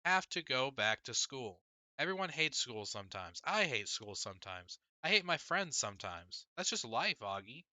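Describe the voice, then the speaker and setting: A man voicing an exasperated teenage girl, bright and forceful. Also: medium pitch, big pitch swings, normal speed, dry recording, pitched above the performer's own voice. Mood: insistent, emphatic. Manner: emphatic, pleading, argumentative. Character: exasperated, insistent teenage girl, an older sister. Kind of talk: audiobook character dialogue.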